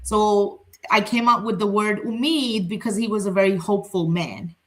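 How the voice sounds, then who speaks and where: A woman's voice: mid-range voice, fairly even intonation, normal speed, slight background noise. A woman who is a family therapist, a native Urdu speaker, talking candidly and reflectively in a casual panel conversation, laughing easily. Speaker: family therapist and center founder.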